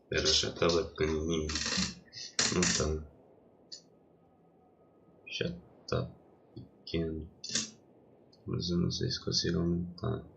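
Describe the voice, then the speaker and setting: Congested gravelly-voiced young man. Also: low-pitched, unhurried, pauses often, fairly bright tone, slight background noise. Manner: hesitant, distracted while setting up. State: congested from a cold. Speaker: streamer. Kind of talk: casual gaming livestream chat.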